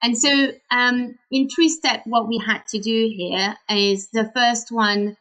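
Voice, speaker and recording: A woman's voice: on the high side, steady tone, slow pace, a bit bright, rough recording. A Belgian woman with a French accent, a native French speaker, explaining her work carefully as a guest on a webinar call. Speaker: webinar guest, optimization lead.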